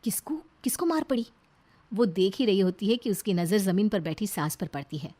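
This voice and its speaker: A woman's voice: mid-range voice, brisk, dark tone. A woman reading scripted, dramatic narration for a moral story.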